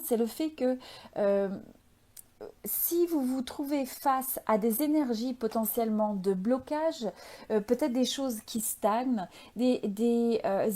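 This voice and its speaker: A woman's voice: on the high side, dark tone. A woman giving an unscripted spiritual tarot reading, reflective and hesitant as she searches for her words. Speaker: tarot reader, channel host.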